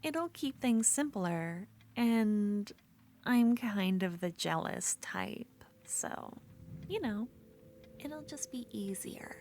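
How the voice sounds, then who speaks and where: A woman playing an obsessive, possessive yandere duelist in an ASMR fantasy roleplay, speaking intimately and moving between soft speech and breathy whispers. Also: medium pitch, lively intonation, slow, slight background noise. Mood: possessive, sweet.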